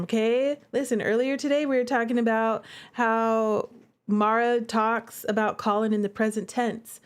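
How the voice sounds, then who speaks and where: A woman with a fairly high voice talks casually to her livestream audience. She is chatty and expressive, commenting as a game developer and repeating points for emphasis.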